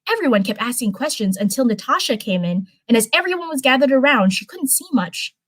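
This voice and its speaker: A woman's voice: fairly high pitch, big pitch swings, a little fast, a bit bright, dry recording. A woman reading fan fiction aloud in a casual livestream style, with chatty, rambling asides. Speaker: live streamer reading fanfiction.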